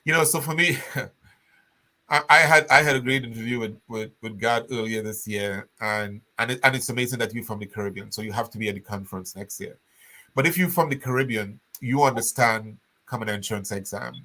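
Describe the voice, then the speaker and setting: Bright-voiced man, older. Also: mid-range voice, a little fast, low-quality recording. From Trinidad. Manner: animated storytelling, frequent restarts. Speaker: guest.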